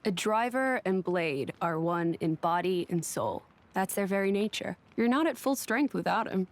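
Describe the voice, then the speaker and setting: Woman as a frank young game companion, proud, mid-pitched, sure of herself. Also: animated, moderate pace, close to an everyday voice. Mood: pointed. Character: proud, candid young female living-weapon companion. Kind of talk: video game cutscene voice acting.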